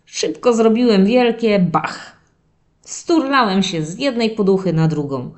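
A woman plays a playful young boy puppy narrating his diary for a children's audio story. The delivery swings widely in pitch and takes its time, with expressive, dramatic phrasing aimed at young listeners. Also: natural voice. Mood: excited, mischievous. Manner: expressive, drawn-out dramatic telling addressed to children. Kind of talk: animated first-person children's storytelling.

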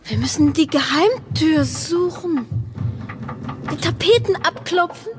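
An actress playing a dreamy, estranged wife in a radio play, with a breathy, often whispering voice and dramatic delivery. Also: female voice, high-pitched, lively intonation. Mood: urgent, excited. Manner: slow, hushed, dramatic delivery. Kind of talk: German radio play acting.

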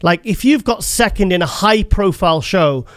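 A man's voice: high voice, animated, normal speed, no room sound, clean studio sound. A middle-aged man hosting a casual sports interview, rephrasing his questions as he goes. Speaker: host, interviewer. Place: indoor studio. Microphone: close studio microphone on arm, headphones.

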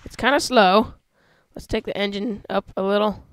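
A man hosting a casual gameplay video, talking through how he builds a vehicle, with excited yelps when he drives it. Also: high voice, normal speed, slight background noise. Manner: chatty, explains while building, excited yelps when driving. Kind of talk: casual let's-play commentary.

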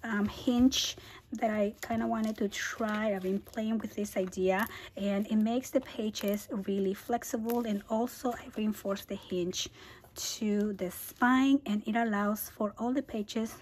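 A woman hosting a scrapbooking tutorial, hoarse and half-whispering as she loses her voice, chatty with small laughs as she walks through her album. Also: medium pitch, fairly even intonation, unhurried, darkish tone, slight background noise. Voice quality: husky. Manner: casual, chatty, laughs between phrases. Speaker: scrapbooking channel host. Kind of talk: craft tutorial walkthrough. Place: indoors at a craft table.

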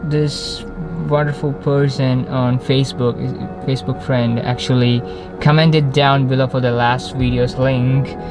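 A man's voice: medium pitch, level tone, a little slow. Young Malayali man rambling in a car. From Kerala, India. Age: young adult. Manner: rambling, frequent restarts and self-corrections. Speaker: vlogger. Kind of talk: unscripted casual talk to camera. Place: inside a parked car. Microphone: camera on the dashboard facing him. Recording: background music under the voice. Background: background music.

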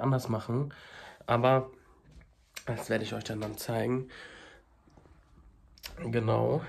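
A young man vlogging casually at home with a cold, talking haltingly with many fillers into a phone held at arm's length. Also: medium pitch, vocal fry, a little hiss or hum. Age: young adult. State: stuffy with a cold, coughing. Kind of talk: casual daily vlog to camera. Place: small home kitchen and flat indoors. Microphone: handheld phone at arm's length.

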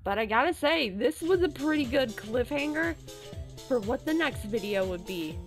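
Chatty high-voiced woman reacting to a video. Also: normal speed. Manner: excitable, loud gasps and cries of alarm; chatty, often repeats "I gotta say". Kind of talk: casual reaction commentary. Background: music from the clip she is watching.

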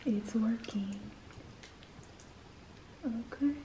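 A woman's voice: fairly high pitch, drawn-out words. A woman co-hosting a casual cooking vlog, chatting playfully as she cooks. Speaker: vlog co-host. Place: home kitchen, indoors. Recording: echoey kitchen with noise.

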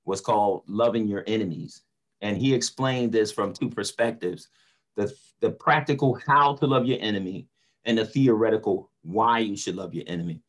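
Low-voiced older man speaking inspirationally. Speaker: motivational speaker on a panel. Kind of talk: earnest inspirational talk. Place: indoor room on a video call. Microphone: video call.

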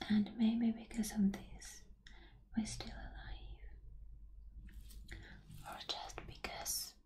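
A woman who is a native Russian speaker whispers a fantasy ASMR roleplay in hushed short phrases, close to a binaural microphone. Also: on the high side, level tone. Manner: hushed, unhurried, gentle pauses between short phrases.

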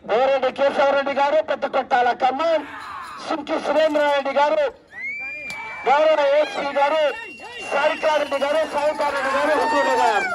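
A man giving live outdoor bull race commentary, exclamatory and rousing, with drawn-out whoops. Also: very high voice, little pitch movement, crowd noise, noisy background. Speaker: announcer at a rural bull race. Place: outdoors at a village race ground. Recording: muffled outdoor PA sound from far off.

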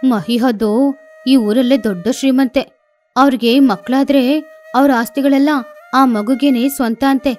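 A woman's voice: high voice, fairly even intonation, a little slow, long pauses, a little mellow, faint music behind. Cartoon boy parrot, voiced by a woman: young, selfish, boastful, entitled. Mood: greedy, smug. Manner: self-assured, boastful.

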